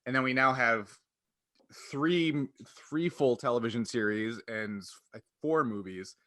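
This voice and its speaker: A man's voice: mid-range voice, unhurried, sharp tone, dry recording, studio quality. A man hosting a podcast, talking casually in a wordy, explanatory way. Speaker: podcast host.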